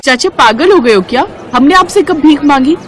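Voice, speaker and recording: A woman's voice: high-pitched, animated, moderate pace, pitched above the performer's own voice, low-quality recording. A woman voicing simple, friendly and spirited young village sisters-in-law in a cartoon story. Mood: indignant. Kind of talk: Hindi moral cartoon story acting.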